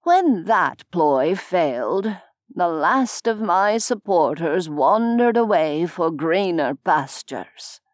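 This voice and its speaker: A wry, world-weary old nobleman tells his tale in an audiobook, voiced by a woman. The voice sits low and moves at a very unhurried pace. The sound is dry and close. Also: animated, no room sound. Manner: slow, measured, dryly self-mocking. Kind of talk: audiobook character voice.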